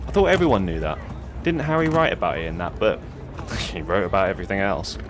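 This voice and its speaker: A man plays a credulous, laid-back young man with a very deep voice. He talks in a casual deadpan, naively certain, in a satirical audio drama with clear outdoor traffic noise. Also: fast. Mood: earnestly sure.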